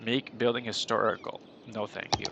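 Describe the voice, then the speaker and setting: A man's voice: lowish voice, little pitch movement, normal speed, gravelly, lo-fi sound, very noisy. A man with a head cold and a nasal voice, chatting casually and rambling while he plays a city-building game on stream. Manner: rambling, chatty, self-deprecating. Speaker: gaming streamer. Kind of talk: casual gameplay commentary.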